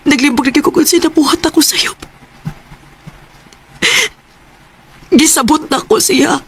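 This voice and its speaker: An actress playing a hurt, betrayed young woman in a radio drama, with an emotional, anguished delivery. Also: sits high. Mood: sad, bewildered. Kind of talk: Cebuano radio drama acting. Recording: noisy radio drama recording.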